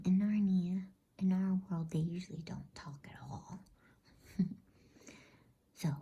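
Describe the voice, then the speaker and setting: A woman reading a bedtime story as ASMR in a soft, unhurried, breathy, intimate whisper. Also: sits low.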